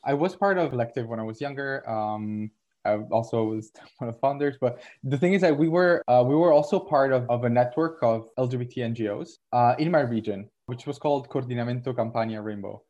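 A man's voice: mid-range voice, a little fast, a little mellow. A young man hosting a podcast, asking friendly questions in a casual way. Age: young adult. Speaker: podcast host. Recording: dull sound with faint guitar music.

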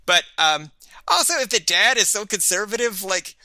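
A man's voice: high-pitched, big pitch swings, normal speed, sharp tone, dry recording. A man co-hosting a casual podcast chat about an old sitcom, talking in a chatty way with frequent restarts. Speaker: podcast co-host.

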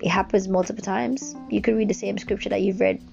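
A woman's voice: mid-range voice, fairly even intonation, brisk, gravelly, a little mellow. A woman with a Jamaican accent giving an earnest, rambling devotional talk, full of fillers and restarts. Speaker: devotional speaker. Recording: dull lo-fi recording with music behind.

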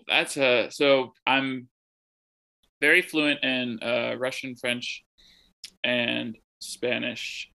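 A young American man from the Midwest, speaking as a guest over a remote connection from home. His voice has a gravelly, creaky edge with a bright, forward tone. He thinks aloud, circling back with ums and restarts. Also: medium pitch, low-quality recording. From Midwest of the United States. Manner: thoughtful, rambling with many ums and restarts. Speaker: interview guest, translator. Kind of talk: casual conversational interview. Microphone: remote call from home.

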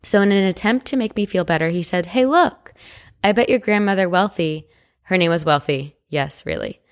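A woman's voice: fairly low pitch, animated, moderate pace. A woman co-hosting a podcast, chatting casually and reading listener stories aloud. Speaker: podcast co-host.

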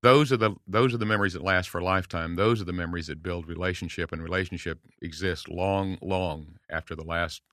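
A man's voice: deep voice, steady tone, fairly quick, few pauses, dry recording, studio quality. A man in his late sixties, a guest on a podcast, talking earnestly and telling stories to encourage listeners. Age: 60s. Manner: earnest, storytelling, warm encouragement with acted quotes. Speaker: guest speaker. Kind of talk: casual podcast conversation.

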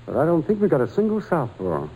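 An actor playing a kindly, patient religious brother at a boys' school, gentle and hesitant, in an old-time radio drama. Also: male voice, a little high, wildly swooping pitch, quick pace, breathy edge, exaggerated voice, poor audio. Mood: gentle coaxing.

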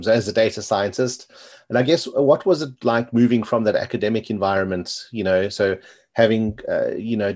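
A man's voice: on the low side, a little mellow. A middle-aged man hosting a podcast, asking long rambling questions full of "you know". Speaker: podcast host. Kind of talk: interview questions.

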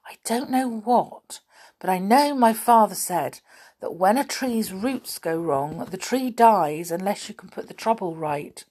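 A woman's voice: mid-range voice, lively intonation, measured pace, darkish tone, husky. A woman voicing a serious, earnest young boy in a storybook reading, thoughtful in manner.

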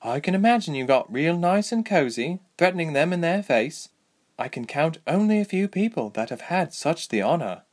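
A man's voice: a little high, sing-song, moderate pace, voice pushed up. A man voicing an easygoing female elf fighter with a dryly teasing manner, in a fantasy storybook. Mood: friendly, teasing.

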